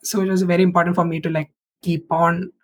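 A woman's voice: lowish voice, flat delivery, quick pace. A woman in her twenties from India with an Indian English accent, a native Malayalam speaker, chatting as an interview guest and filling gaps with "like" and "uh". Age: 20s. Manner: chatty, fills with "like" and "uh". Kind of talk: casual interview answers.

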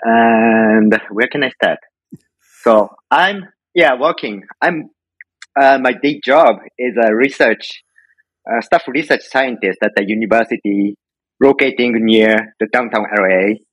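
A middle-aged man with a Japanese accent speaks at a medium pitch as an interview guest. He tells stories casually in halting, informal English, recorded dry with little room sound. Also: fairly bright tone, dry recording, rough recording. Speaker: podcast guest, home brewer.

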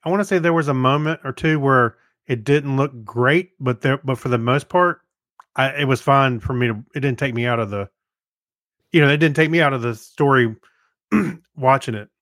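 A man's voice: mid-range voice, normal speed, fairly bright tone, dry recording, studio quality. A man co-hosting a podcast, giving informal opinions in a rambling, hesitant, conversational way. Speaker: podcast co-host. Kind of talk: casual chat, informal reviews.

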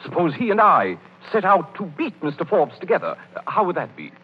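Genial, swooping rich gentleman. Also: on the high side, very animated, brisk, breathy edge. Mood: kindly. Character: genial, reassuring wealthy gentleman financier. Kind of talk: 1930s radio comedy acting. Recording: dull, noisy 1930s radio broadcast.

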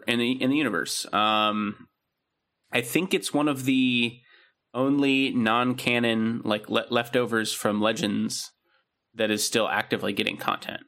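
A young man with a low, gravelly voice and a bright, edgy tone talks through a topic in a loose, casual way. He leans on plenty of fillers as he explains. It sounds like relaxed podcast chat between friends. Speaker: podcast co-host.

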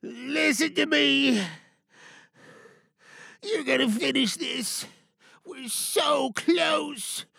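Dying veteran soldier, extremely gravelly, slow and halting, urgent audio-drama delivery. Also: male voice, extremely high pitch, pushed and bright, dry recording, clean studio sound, voice pushed up, full character voice. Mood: urgent, gruff. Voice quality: creaky, strained. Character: dying battle-hardened soldier, infected, urging on lieutenant.

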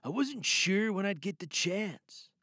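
A man's voice: high-pitched, expressive, a little slow, pushed and bright, husky, no room sound, voice pushed up, heavily acted. Male actor as earnest, curious man in an audio drama monologue, hesitant and intimate, dry close sound. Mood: earnest, confiding.

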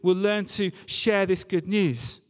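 A man's voice: high-pitched, lively intonation, slow pace. A man preaching a church sermon in an earnest, emphatic way, repeating key phrases. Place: large reverberant church or hall. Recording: long hall reverb.

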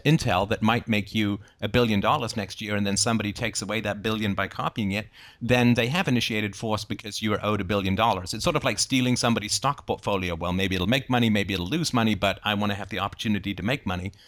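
A man with a fairly low voice takes part in an informal group discussion. He reasons aloud and explains positions through hypothetical examples, in the manner of someone hosting a book club debate. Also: fairly bright tone.

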